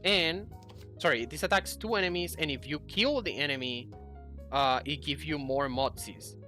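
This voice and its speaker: A man's voice: fairly high pitch, normal speed, sharp tone. A young man giving casual game commentary, explaining things and thinking aloud as he plays. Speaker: gaming YouTuber. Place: indoor room at a computer. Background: game music.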